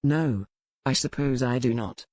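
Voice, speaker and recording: A woman voicing a formal, resigned male pirate captain in an audiobook, speaking with measured gravity. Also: extremely low pitch, a little mellow, no echo, voice pushed down. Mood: regretful, resigned. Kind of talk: dramatic audiobook character reading.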